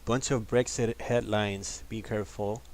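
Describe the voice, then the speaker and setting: Calm deep-voiced man hosting a live stream. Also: slight background noise. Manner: calm, laid-back, unhurried. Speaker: host of a live trading session. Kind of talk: casual livestream market commentary.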